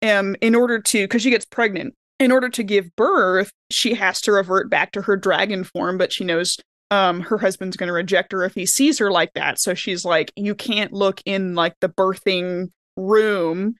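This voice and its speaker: A woman's voice: medium pitch, fairly even intonation, normal speed, hardly any pauses, fairly bright tone, no echo. A woman with a mid-range voice talks on a podcast panel in an informal, giggly way. The sound is dry, with no room to it, and the delivery is casual conversation. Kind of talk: casual chat.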